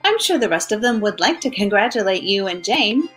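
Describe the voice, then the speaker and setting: A middle-aged woman playing a witty, poised detective's wife with an arch, measured manner, in old-time radio mystery style. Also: mid-range voice, big pitch swings, a bit bright. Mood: arch, teasing. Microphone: video call. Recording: faint music behind.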